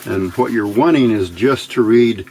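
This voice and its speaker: Gravelly man thinking aloud at a workbench. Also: on the low side, measured pace, a little mellow, a little hiss or hum, poor audio. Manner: unscripted, muttering to himself while working. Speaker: electronics hobbyist, channel host. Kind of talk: casual hands-on build tutorial, thinking aloud. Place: indoor workbench.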